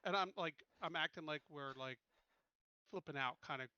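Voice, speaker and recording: A man's voice: a little high, moderate pace, pushed and bright, clear, resonant tone, no echo, lo-fi sound. A man playing a tabletop game, casually describing what his character does.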